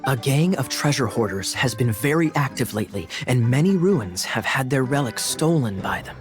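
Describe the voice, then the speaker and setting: An actor playing a suave, sly young knight in a video game, smooth-talking and theatrical. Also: male voice, medium pitch, expressive, normal speed, music in the background, natural voice. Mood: feigned concern.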